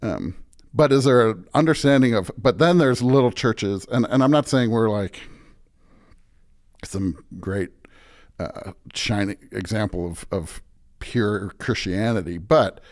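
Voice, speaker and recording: A man's voice: on the low side, measured pace, darkish tone. Emphatic man, a pastor talking faith. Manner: emphatic, repeatedly checks with "right?". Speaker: pastor, podcast guest. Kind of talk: conversational preaching in an interview.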